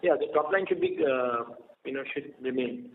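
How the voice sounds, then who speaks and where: A man speaking as a company executive answering investor questions on an earnings call, with a hesitant, halting delivery. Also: mid-range voice. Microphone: telephone conference line. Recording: tinny phone line with clear noise.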